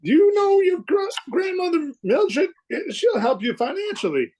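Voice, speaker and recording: Man as earnest mechanic, insistent, very high pitch, lively swoops, radio drama. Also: a little slow, exaggerated voice. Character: earnest, opinionated airplane mechanic employee. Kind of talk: old-time radio play acting.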